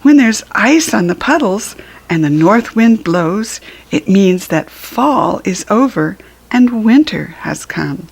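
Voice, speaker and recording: A friendly little squirrel from a children's storybook, voiced by a woman narrator. It gently chides and then reassures, explaining things kindly. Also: medium pitch, animated, slow pace, creaky, slightly rough, full character voice. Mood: gently chiding, reassuring.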